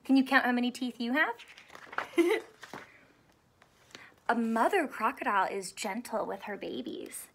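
A woman in her thirties reading a children's book aloud in an expressive, teacherly way, asking questions and drawing out key words. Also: fairly high pitch, a little slow, pauses often. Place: small indoor room with couch and stuffed toys.

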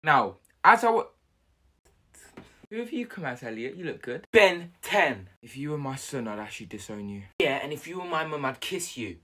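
A young man acting out school comedy sketches, switching characters with quick slangy banter. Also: on the high side, big pitch swings, bright, forceful tone. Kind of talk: sketch comedy playing all the parts. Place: school classrooms and corridors, indoors.

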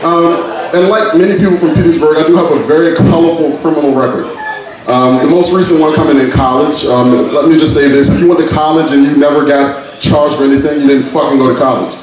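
A young man from Virginia doing brash, profane stand-up with deadpan asides, on a handheld mic in a small bar. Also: a little high, fast talker. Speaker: stand-up comic. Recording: echoey bar from far off, crowd. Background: bar crowd.

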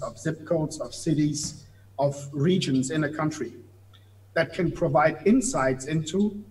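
A man's voice: on the high side, rough recording. A man in his fifties, a native German speaker with a German accent, explaining things at length on a video call from an office, gesturing as he talks. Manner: explanatory, gestures while talking, some hesitant fillers. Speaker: guest. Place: office room. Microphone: video call webcam.

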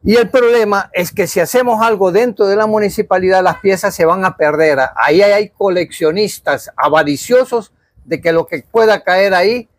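An older man, a historian being interviewed in a small study, talking casually and pausing to search for words. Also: high voice, no room sound. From Honduras. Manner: halting, searches for words, gestures while talking. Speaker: historian guest. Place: small cluttered study with bookshelves.

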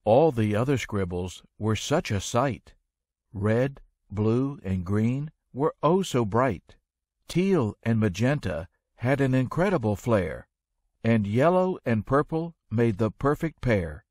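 A man narrating a rhyming children's story in an expressive, measured storytelling manner. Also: medium pitch, no echo, studio quality.

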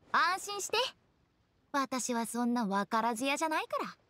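A woman voicing a spirited, suspicious young female funeral director in an anime game, expressive and inquisitive. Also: sits high, unhurried, no echo, exaggerated voice. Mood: breezy.

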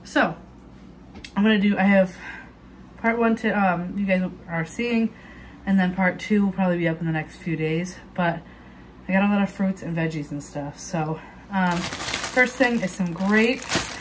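A woman's voice: on the low side, unhurried, slight background noise, low-quality recording. A young woman chatting casually with many ums and restarts, showing her groceries in a home kitchen, recorded on a propped-up phone. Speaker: vlogger. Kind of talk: casual grocery haul chat. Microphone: phone camera propped on counter.

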